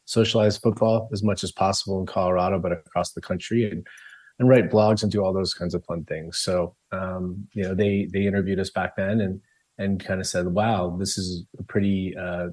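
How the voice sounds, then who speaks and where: Low-voiced man, rambling guest answers. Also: darkish tone, no echo. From Colombia. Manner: rambling, many ums and restarts, laughs easily. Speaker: club founder, interview guest. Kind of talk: casual interview answers.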